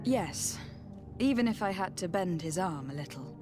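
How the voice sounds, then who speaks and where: An actress playing a young noblewoman envoy in a video game, speaking in a formal, measured and assertive way. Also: on the low side, animated, over music, close to an everyday voice. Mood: composed, formal. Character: composed, stern young noblewoman envoy, diplomatic yet commanding. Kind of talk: video game dialogue acting.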